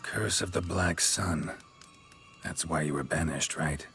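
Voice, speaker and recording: An actor playing a stoic, weary monster hunter in a video game, gruff, terse and deadpan. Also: male voice, low voice, a little slow, soft background music, full character voice. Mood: grave, flat.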